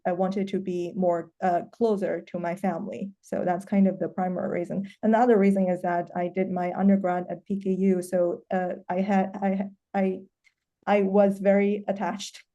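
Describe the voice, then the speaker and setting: A woman's voice: medium pitch, flat delivery, normal speed, mellow. A woman in her thirties, from China, speaking as a professor on an online alumni panel, hesitant and often restarting. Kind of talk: casual panel talk. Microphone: video call.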